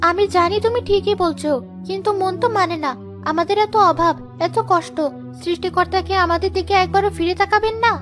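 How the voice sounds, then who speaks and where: Woman acting a high-voiced, fretful young wife. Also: normal speed, over music. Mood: pleading. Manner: fretful, pleading. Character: worried, poor young wife and anxious mother. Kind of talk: Bengali cartoon moral-tale acting.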